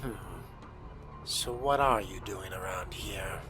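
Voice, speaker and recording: Man playing a slow, low aloof vampire. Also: over music. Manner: measured, terse, dry. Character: aloof, curt fledgling male vampire with dry disdain. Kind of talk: video game role-play voice-over.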